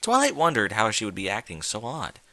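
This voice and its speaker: A man's voice: fairly low pitch, huge pitch swings, fairly quick, bright, forceful tone, dry recording. A man reading fan fiction aloud as a theatrical, emphatic narrator. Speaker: story narrator.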